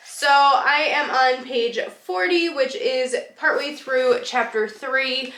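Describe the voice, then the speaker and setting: A woman's voice: high voice, takes it slowly, sharp tone. A woman vlogging casually about her reading, rambling a little, and stuffed up with a cold. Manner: chatty, rambling, with laughs and fillers. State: congested, stuffy nose. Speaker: book vlogger. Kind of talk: casual reading vlog chat. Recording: echoey room with faint noise.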